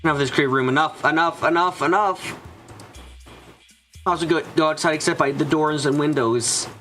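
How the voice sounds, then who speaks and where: A man's voice: a little high, a little fast, bright, forceful tone, faint music behind. A man voicing an anxious, frightened narrator trapped and desperate, in a first-person visual novel fan dub. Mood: desperate, frantic. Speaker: voice actor narrating.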